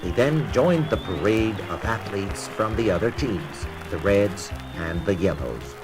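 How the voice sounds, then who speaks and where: Slow, expressive low-voiced male storyteller. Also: poor audio. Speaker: storybook narrator. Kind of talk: scripted children's read-along narration. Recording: children's record with music behind. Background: music.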